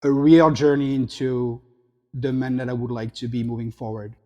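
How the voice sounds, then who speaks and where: A man's voice: mid-range voice, little pitch movement, moderate pace, mellow, long reverb tail, highs cut off. A middle-aged man from the south of France with a French accent, speaking reflectively as an interview guest over a video call. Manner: reflective, gesturing hands while talking. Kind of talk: interview conversation. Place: home room.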